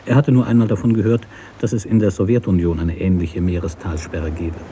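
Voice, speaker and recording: A man reading a literary story as narrator in a measured, sober manner. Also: sits low, flat delivery, mellow, a little hiss or hum.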